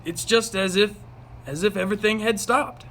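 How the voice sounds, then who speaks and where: A man's voice: high-pitched, normal speed, fairly bright tone, slight background noise. A man playing a folksy, skeptical small-town neighbor in an amateur read-aloud of a television script. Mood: uneasy.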